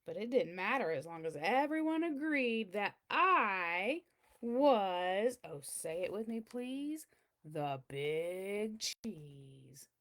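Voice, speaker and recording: A woman's voice: medium pitch, sing-song, takes it slowly, a bit bright, pitched below the performer's own voice. A woman voicing a boastful, pompous chunk of cheddar cheese in a theatrical storybook read-aloud. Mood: smug. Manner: pompous, showy, theatrical delivery.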